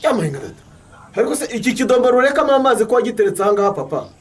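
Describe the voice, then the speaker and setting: A man's voice: extremely high pitch, big pitch swings, rapid-fire, husky, exaggerated voice. An actor playing a grown son who teases his father. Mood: teasing. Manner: teasing, light.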